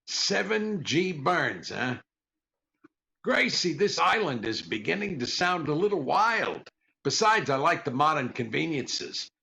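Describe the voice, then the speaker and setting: An American man playing a long-suffering comedian husband in 1940s radio comedy style, dry and incredulous with a gravelly voice. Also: on the high side, big pitch swings, measured pace, sharp tone, slight background noise, full character voice. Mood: puzzled, wary.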